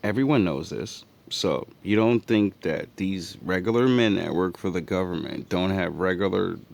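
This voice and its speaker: A man's voice: sits low, moderate pace, vocal fry, darkish tone. A man reacting to clips in casual, profane, sarcastic commentary. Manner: sarcastic, profane, mocking asides.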